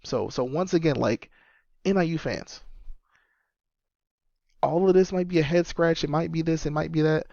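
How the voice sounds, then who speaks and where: 30s guy doing a solo sports podcast, kinda high voice, repeats stuff for emphasis, dull dry lo-fi audio. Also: normal speed, creaky, pauses often, darkish tone, dry recording. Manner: emphatic, repeats points for stress. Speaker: podcast host and sports fan.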